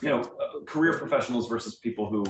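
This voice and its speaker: A man answering a group's questions in a conversational, enthusiastic way, as a guest theater director. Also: fast. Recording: roomy, distant mic, faint rumbling noise.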